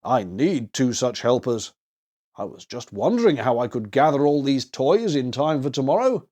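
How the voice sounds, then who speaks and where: A man's voice: medium pitch, sing-song, moderate pace, dark tone. A man voicing a merry, jolly old man with a hearty, jovial manner in a storybook reading. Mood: merry, welcoming.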